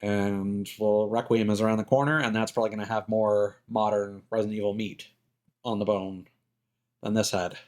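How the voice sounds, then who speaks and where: A man's voice: on the low side, little pitch movement, normal speed, a little mellow. A man giving casual, rambling and opinionated commentary as a game livestreamer. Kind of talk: casual gaming stream commentary. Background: music.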